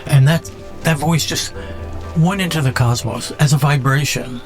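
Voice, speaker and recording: A man hosting a podcast in a reflective, unscripted monologue, speaking haltingly and musingly and repeating words for emphasis. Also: fairly high pitch, soft background music. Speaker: podcast host.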